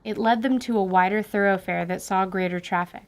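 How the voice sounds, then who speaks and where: A woman narrating a fantasy radio drama with lively storytelling. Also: mid-range voice, fairly quick.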